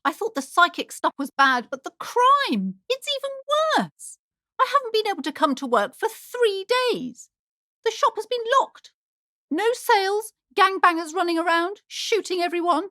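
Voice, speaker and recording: A woman's voice: very high-pitched, big pitch swings, normal speed, sharp tone, dry recording, clean studio sound, exaggerated voice. An actress playing an anxious, superstitious, preachy woman bookshop owner in a video game, speaking in a theatrical, fretful way. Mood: alarmed, dismayed. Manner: theatrical, fretful, swinging between gushing and alarm. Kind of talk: dramatic video game character dialogue.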